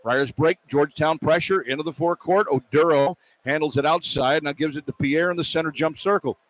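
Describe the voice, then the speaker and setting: A man doing live radio basketball play-by-play, describing the action in short, clipped phrases that build toward excited calls. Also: fairly high pitch, a little fast, poor audio. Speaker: play-by-play announcer. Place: broadcast position at an indoor arena.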